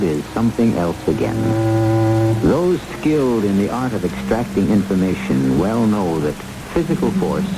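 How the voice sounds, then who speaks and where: Low voiced guy narrating old radio mystery, very theatrical, big swings in pitch, loud music behind. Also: rough recording. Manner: deliberate, theatrical, measured. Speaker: radio drama host and narrator. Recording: old radio broadcast under music and rain.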